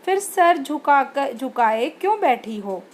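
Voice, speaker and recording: A woman's voice: sits high, very animated, slow, exaggerated voice. A woman voicing a caring older mother-in-law who coaxes her daughter-in-law with concern. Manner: concerned, coaxing.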